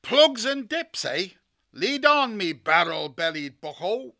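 A man's voice: high-pitched, very animated, takes it slowly, creaky, pushed and bright, pitched above the performer's own voice, full character voice. A man voicing a tough male shrew crew leader who banters in a rustic dialect. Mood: bantering.

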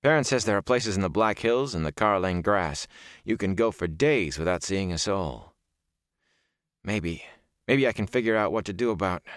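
Guy reading as earnest young village lad, fantasy audiobook hero, stubborn n troubled, lively pitch, dry sound. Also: moderate pace, no echo. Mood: resigned, troubled. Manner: earnest, insistent.

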